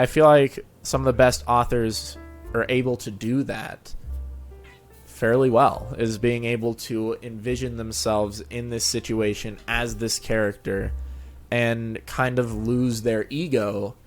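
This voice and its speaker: A man hosts a casual interview in a conversational way. His voice sits at a middle pitch, and music plays faintly behind him. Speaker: show host, fan fiction reader.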